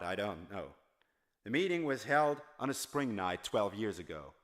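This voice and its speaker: A man playing a weary homeless former factory worker in an audiobook, confessing his memories in a halting, solemn way. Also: lowish voice, a bit bright. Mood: hesitant, uneasy. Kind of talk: dramatized audiobook monologue.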